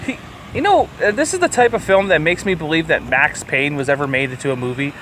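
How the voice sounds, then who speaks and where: A man with a voice pitched a little above average co-hosts a casual film review. He rambles and gives his opinions bluntly, swearing as he goes. Faint traffic noise sits behind him. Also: animated, quick pace, sharp tone. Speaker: podcast co-host reviewing films. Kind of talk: casual movie review chat.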